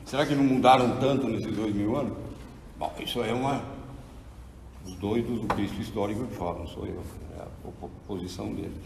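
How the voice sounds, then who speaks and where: A man's voice: on the low side, a little fast, vocal fry, recorded from a distance, background noise. Man in his sixties lecturing in an echoey hall. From Argentina. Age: 60s. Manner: animated, preacherly storytelling. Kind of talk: theology lecture to an audience.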